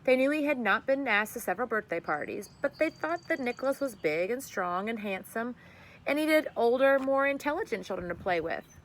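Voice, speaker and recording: A woman's voice: on the high side, expressive, a little fast. A woman reading a children's story aloud expressively, like a teacher addressing her class.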